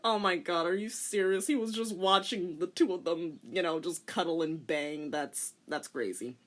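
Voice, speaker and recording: A woman's voice: on the low side, normal speed. A woman narrating a comic dramatic reading with teasing, theatrical commentary.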